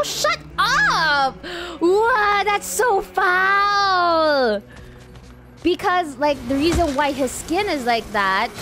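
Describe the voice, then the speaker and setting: A woman from the Philippines, giving chatty, giggly reaction commentary while playing a video game. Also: fairly high pitch. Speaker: gaming streamer. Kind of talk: let's play reaction commentary. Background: game music.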